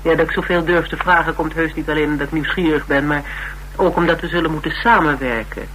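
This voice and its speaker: A higher-voiced, courteous, probing interviewer. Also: male voice, fairly even intonation, fast talker, sharp tone, poor audio. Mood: curious, lightly amused. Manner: courteous, probing, lightly amused. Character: polite, inquisitive male interviewer sizing up a recruit. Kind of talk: naturalistic Dutch radio drama acting. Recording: radio recording with background hiss and hum.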